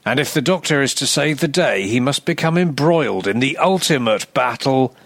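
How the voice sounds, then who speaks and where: A man narrates a scripted comic piece in a mid-pitched voice. He sounds mock-serious and deadpan while piling on exaggeration, and the sound is dry with no room echo. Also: normal speed, vocal fry. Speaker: writer and reader of a comic A to Z segment.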